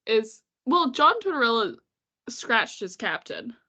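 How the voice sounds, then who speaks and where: A woman co-hosting a casual podcast, congested and sniffly with a cold, chatting quickly with frequent laughs and sarcastic asides. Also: on the high side, big pitch swings, bright, forceful tone. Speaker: podcast co-host. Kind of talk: casual chat about hockey.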